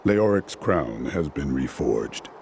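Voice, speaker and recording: An actor playing a grim, resolute male hero in a game, speaking in a solemn, steady way. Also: extremely low pitch, slow, creaky, noisy background, heavily acted.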